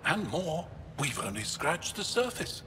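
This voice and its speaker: An actor playing an upbeat alien male lead researcher who talks in a brisk, matter-of-fact way. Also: a little high, sing-song, sharp tone, slight background noise, full character voice. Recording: echoey game audio with ambience.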